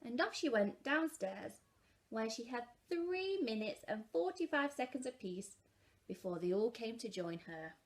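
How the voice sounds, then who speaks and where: Female storyteller for young children, slightly high pitch, animated and expressive reading. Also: unhurried.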